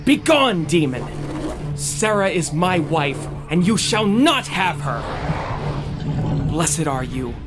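A man's voice: on the high side, very animated, slow, pauses often, over music. A young man acting as a devout, brave bridegroom in an audio drama, earnest in his delivery. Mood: fervent, defiant.

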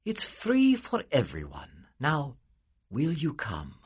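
A man voicing a patient, weary male angel, speaking in a calm, measured, softly solemn way with a husky voice. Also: a little high, pitched above the performer's own voice, heavily acted.

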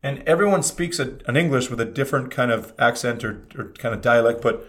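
A man's voice: mid-range voice, fast talker. A man from Canada, chatting casually and telling stories with emphatic energy. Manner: animated storyteller, emphatic. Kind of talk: casual chat.

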